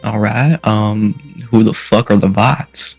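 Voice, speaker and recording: Casting: man, lowish voice, tabletop player, halting delivery. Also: vocal fry, a little mellow, slightly rough, soft background music. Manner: halting, hesitant in character. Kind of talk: playing a tabletop game.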